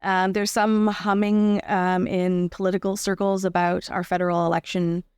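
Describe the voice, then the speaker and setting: A woman's voice: medium pitch, little pitch movement, moderate pace, a little mellow, smooth, no room sound, clean studio sound. A Canadian woman giving reflective, explanatory answers as a guest in a conversational interview. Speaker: interview guest, performer and advocate.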